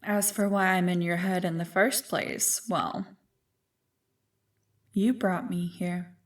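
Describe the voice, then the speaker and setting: A woman playing an ancient evil female spirit in an ASMR roleplay, seductive, smug and condescending in a deliberate, teasing manner. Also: fairly low pitch, steady tone, far from the mic. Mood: amused, condescending.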